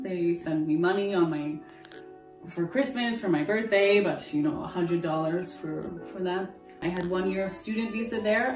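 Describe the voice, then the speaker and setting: A woman's voice: medium pitch, a little mellow. A young American woman in her twenties telling her story in a candid, rambling interview. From United States. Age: 20s. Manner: candid, rambling, full of restarts. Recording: roomy distant mic with music. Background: guitar music.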